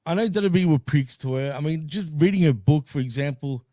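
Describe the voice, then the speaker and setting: Laid-back laughing podcast host, dry sound. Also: male voice, a little high, brisk, mellow, dry recording. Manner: laid-back, laughs often, hedges with fillers. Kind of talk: casual wrestling chat.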